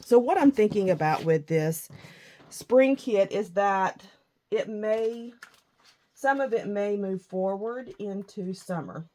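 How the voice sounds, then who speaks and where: A middle-aged woman with a husky morning voice, chatting casually and self-deprecatingly about her crafting supplies. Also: mid-range voice, slow pace, dark tone. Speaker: scrapbooking vlogger.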